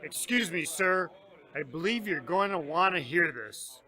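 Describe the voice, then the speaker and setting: A man's voice: a little high, expressive, unhurried, a crowd in the background. A man playing a polite young military messenger in a radio drama. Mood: deferential, urgent.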